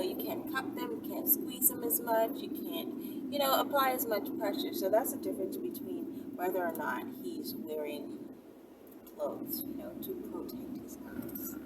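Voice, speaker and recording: Lady with a high voice just chatting to her viewers, kinda hesitant, mic sounds far off. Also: very noisy. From Bahamas. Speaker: vlogger answering viewer questions. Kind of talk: casual, unscripted question-and-answer chat to viewers.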